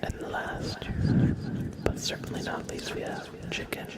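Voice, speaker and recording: A man hosting an ASMR livestream, speaking softly and soothingly in a breathy whisper to his viewers. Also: unhurried. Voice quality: breathy whisper, often unvoiced.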